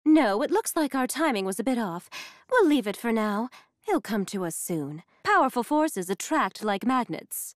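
A woman's voice: on the high side, sing-song, moderate pace. A woman playing a haughty, confident young heiress fighter in a video game, speaking in a formal, commanding way. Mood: confident, composed.

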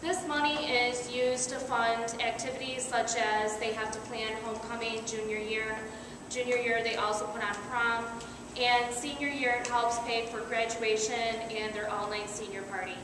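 A woman's voice: fairly high pitch, flat delivery, normal speed. Woman advisor, echoing from far off. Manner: frequent ums, informational. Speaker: class advisor. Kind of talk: announcements at a school meeting. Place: large school cafeteria. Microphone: far camera. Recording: echoey hall from far off, background noise.

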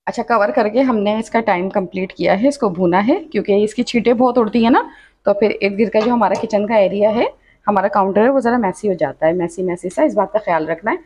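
Woman, cooking tutorial voice-over, chatty, instructive, medium pitch. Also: quick pace, darkish tone, slight background noise. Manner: chatty, instructive, often says "achha" and "dekhiye". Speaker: cooking channel host. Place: home kitchen.

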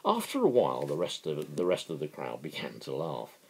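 A middle-aged man giving a dramatic reading and impassioned political commentary, emphatic and deliberate, with dramatic pauses. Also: a bass voice, wildly swooping pitch, slightly rough. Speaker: amateur reader and political commentator. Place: small living room at home, indoors.

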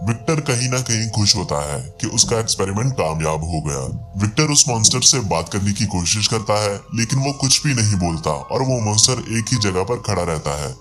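A man's voice: fairly low pitch, fairly bright tone. A man giving a scripted narration of a film's story as a recap narrator.

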